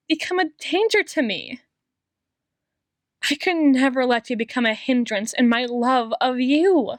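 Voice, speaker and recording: Woman playing a high-voiced, obsessively devoted yandere doctor. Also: no echo, clean studio sound. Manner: slow, coaxing, theatrical, with lingering pauses. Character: obsessive, possessive yandere female doctor, sweetly menacing and devoted. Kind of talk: ASMR roleplay monologue.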